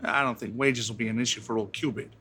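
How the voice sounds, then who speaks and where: A man's voice: medium pitch, fairly even intonation, fast talker, bright, forceful tone, breathy edge. A man playing a wisecracking bartender. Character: friendly, joking male bartender. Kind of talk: noir parody acting.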